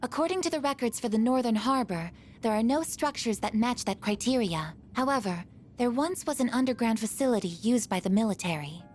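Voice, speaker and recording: An actress voicing a formal, literal-minded android in a video game, precise and clipped. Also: female voice, fairly high pitch, lively intonation, fairly quick, faint music behind, lightly acted. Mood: matter-of-fact.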